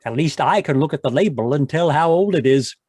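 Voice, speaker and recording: A man's voice: on the high side, lively intonation, a little fast, a little mellow, slightly rough, no echo. A man acting and announcing in the manner of an old-time radio drama.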